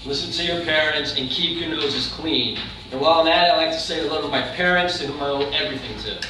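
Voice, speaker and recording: A man's voice: fairly high pitch, fast talker, natural voice. Man acting a world-weary tough boxer, echoey. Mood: guarded. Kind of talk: staged play reading. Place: large echoey room, live staged reading. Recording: very echoey room, distant mic, faint noise.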